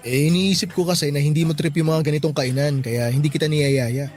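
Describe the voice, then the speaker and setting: A man plays a devoted young boyfriend in a radio-style drama. His voice sits a little high, earnest and emotive, with frustration under the affection. Faint music runs behind him. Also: steady tone, brisk, a little mellow, lightly acted. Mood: playful, affectionate.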